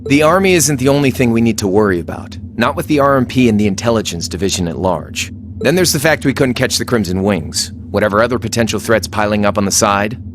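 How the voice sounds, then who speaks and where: An actor playing a laid-back, wry young fighter in a video game, casual and understated but wary. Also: male voice, lowish voice, animated, quick pace, a little hiss or hum, lightly acted. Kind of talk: dramatic video game cutscene acting.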